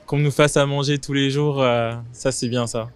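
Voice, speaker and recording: A man's voice: medium pitch, steady tone, moderate pace, clean tone. A man of twenty-nine, giving casual answers in an outdoor street interview. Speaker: interviewed passer-by. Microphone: handheld microphone held by interviewer.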